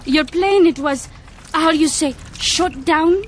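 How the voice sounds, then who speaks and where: An actress playing a flirtatious French nurse in a dream, speaking with a French accent in a teasing, seductive way. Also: female voice, high-pitched, slow pace, a little hiss or hum. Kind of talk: radio drama acting.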